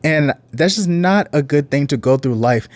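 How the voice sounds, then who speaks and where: A man's voice: lively intonation, moderate pace, no room sound. A man hosting reaction commentary in a casual, earnest, reflective way, now and then reading out case details. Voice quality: deep voice.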